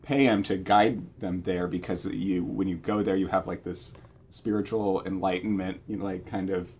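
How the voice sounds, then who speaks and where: A man talking casually about books as a channel co-host, in a hesitant, self-correcting way with a husky voice. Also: sits low, fairly even intonation, moderate pace, darkish tone, slight background noise. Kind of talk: casual book chat.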